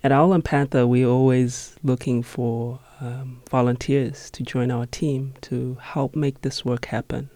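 A man in his thirties from Zimbabwe, talking in a radio studio. He speaks earnestly and reflectively, taking his time. His voice is warm and mellow, and the sound is close and dry. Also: mid-range voice, little pitch movement, unhurried, hardly any pauses, dry recording. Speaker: interview guest, nonprofit managing director. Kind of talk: studio interview.

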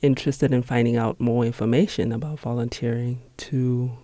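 A man in his thirties from Zimbabwe, speaking as an interview guest in a radio studio in an earnest, measured, reflective way. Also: mid-range voice, steady tone, mellow, no echo. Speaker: interview guest, nonprofit managing director.

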